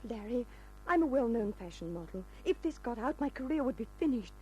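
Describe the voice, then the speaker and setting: Breathy, dramatic radio femme fatale. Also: female voice, on the high side, very animated, fairly quick, mellow, highs cut off, slight background noise. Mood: frightened, pleading. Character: seductive, desperate glamorous female fashion model. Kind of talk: old-time radio crime drama acting.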